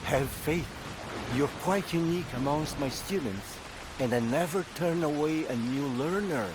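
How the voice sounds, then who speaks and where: A man playing a wise, melancholy music master in a video game, speaking in a measured, poetic, earnest way. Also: fairly high pitch, noisy background, natural voice. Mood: gentle, welcoming. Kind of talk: dramatic video game cutscene acting.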